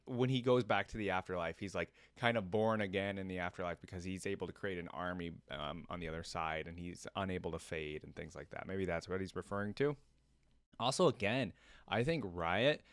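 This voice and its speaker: A man with a fairly low, smooth voice hosts a casual reaction vlog. He thinks aloud in loose tangents and addresses viewers informally. The sound is dry and close, with very little room on it. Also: no echo. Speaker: YouTube reaction host. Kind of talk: casual, rambling solo reaction commentary to viewers.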